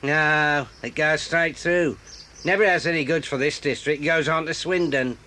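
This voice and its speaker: A curt, grudging railway signalman from a radio drama. His voice is high and bright, with a faint hiss behind it. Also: moderate pace. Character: gruff male railway signalman. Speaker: signalman working the points.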